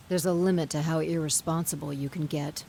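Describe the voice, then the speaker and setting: A woman voicing a haughty, scornful goddess villain in a video game cutscene, speaking in a measured, condescending and theatrical way. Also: low voice, fairly even intonation, creaky, mellow, a little hiss or hum. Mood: mocking disdain. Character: haughty, scornful goddess, coolly amused villain behind it all.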